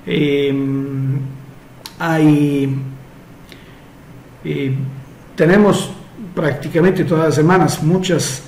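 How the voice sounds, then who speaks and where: A man who is not a native Spanish speaker, talking slowly and hesitantly as a therapist answering follower questions, with frequent fillers. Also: a little high, steady tone, darkish tone, echoey room, a little hiss or hum, poor audio. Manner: slow and measured, many hesitations and fillers. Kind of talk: casual solo Q&A monologue.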